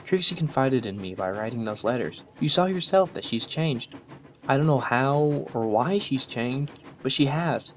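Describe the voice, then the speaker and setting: A man's voice: mid-range voice, lively intonation, moderate pace, dull audio, slight background noise, pitched above the performer's own voice, lightly acted. A man voicing an earnest, principled young female unicorn pony who lectures her friend.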